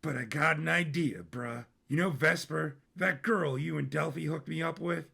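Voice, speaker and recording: A man's voice: on the high side, moderate pace, creaky, pushed and bright, husky, full character voice. A man voicing a bored thirty-year-old prince in an audio story, with slangy, laid-back bro talk. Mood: casually pitching.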